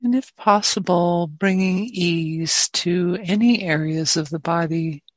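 Woman, meditation teacher, very slow pace, dry close sound, gentle guidance, long pauses. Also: fairly low pitch, no room sound. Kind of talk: guided meditation.

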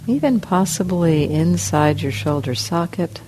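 A woman leads a guided meditation, speaking slowly in short phrases with long pauses. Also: on the low side, animated, warm tone, slight background noise, low-quality recording. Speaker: meditation teacher. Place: meditation center hall.

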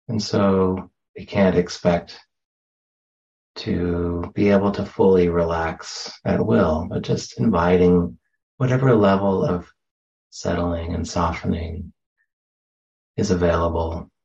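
A man leading a guided meditation as a teacher, speaking gently and unhurriedly with long pauses, on a slightly muffled recording. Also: deep voice, fairly even intonation, vocal fry. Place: meditation center.

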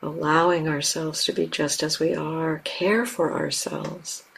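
A woman teaching guided meditation, speaking gently and unhurriedly in short phrases with long pauses. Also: low voice, flat delivery, vocal fry, husky, poor audio. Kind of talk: guided meditation, unscripted instruction.

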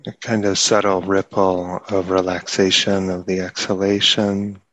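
A man leading a guided meditation as a teacher, speaking haltingly with long pauses between short phrases. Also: low-pitched, level tone, fairly bright tone, no echo, rough recording.

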